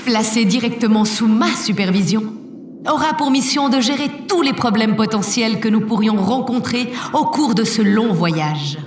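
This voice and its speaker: Actress as stately high-voiced woman president in echoing hall. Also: moderate pace, slight background noise, lightly acted. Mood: ceremonious. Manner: stately, declamatory. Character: solemn, ceremonious woman president of a spaceship colony. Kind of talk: formal public speech in an audio drama. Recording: echoey public-address hall, distant, crowd ambience.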